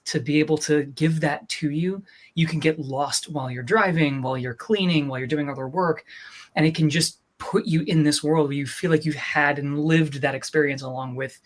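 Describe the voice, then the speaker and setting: A person talking informally and enthusiastically as part of a production team promoting a project. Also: mid-range voice. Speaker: audiobook production team member.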